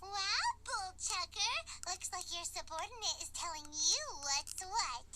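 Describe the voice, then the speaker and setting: A woman voicing a tiny, cheeky, excitable childlike fairy companion in an anime-style game. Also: a little slow, heavy background noise. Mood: teasing. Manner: squeaky, chirpy, excitable. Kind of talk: cute anime game voice acting.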